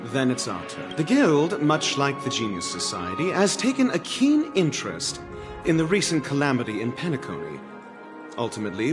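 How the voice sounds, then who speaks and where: An actor playing a proud, brainy male scholar who speaks in a brisk, self-assured manner. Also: mid-range voice, natural voice. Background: game music.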